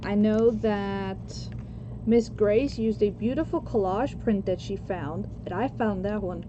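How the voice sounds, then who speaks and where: Casual craft host, woman in her 40s from Costa Rica, unhurried, slightly muffled sound with steady background noise. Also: mid-range voice. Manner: slow, chatty, answers chat comments while working. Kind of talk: casual crafting chat with viewers.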